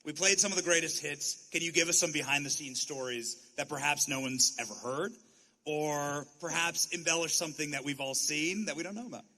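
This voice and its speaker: Bright, forceful male moderator. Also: a little high, fairly quick, poor audio. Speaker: host moderating a stage panel. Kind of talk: interview questioning.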